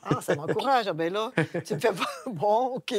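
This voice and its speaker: A woman's voice: mid-range voice, animated, moderate pace, husky. A woman with a Québécois accent telling personal stories in an earnest, emphatic way. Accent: Québécois French. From Quebec. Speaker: discussion participant. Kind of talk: personal storytelling.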